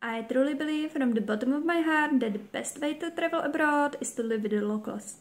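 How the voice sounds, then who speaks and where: Young Czech woman host, high voice, playful and upbeat, second-language English. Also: a little fast. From Czech Republic. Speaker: show host. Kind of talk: scripted intro to camera. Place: home room, indoors.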